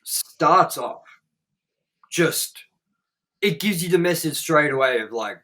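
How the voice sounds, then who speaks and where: A man's voice: fairly high pitch, animated, slow, pushed and bright. A young man hosting a casual movie review chat, blunt and swearing often. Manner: swears a lot, blunt and frank. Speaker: host.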